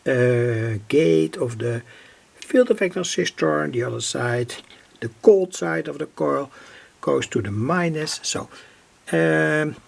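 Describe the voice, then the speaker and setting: A man presents a hands-on technical demonstration without a script. His voice sits a little high and is gravelly and husky. He explains step by step in a halting manner, pausing often and repeating phrases. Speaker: presenter of hobby radio electronics demos. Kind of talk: unscripted technical demonstration talk.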